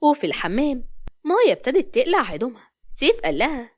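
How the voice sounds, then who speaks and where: A woman's voice: high-pitched, big pitch swings, quick pace. A woman with an Egyptian accent narrating a scripted dramatic story as a storyteller.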